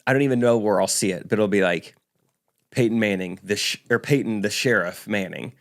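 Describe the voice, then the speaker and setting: A man co-hosting a podcast, telling stories in a dry, relaxed way and mimicking the people in them. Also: lowish voice, fairly quick. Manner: dry, relaxed storyteller who mimics others. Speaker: podcast co-host. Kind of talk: casual storytelling chat.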